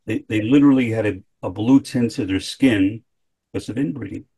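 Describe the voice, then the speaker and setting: A man's voice: on the low side, brisk, gravelly, warm tone, husky. An older man with an American accent, talking casually in a group video call. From United States.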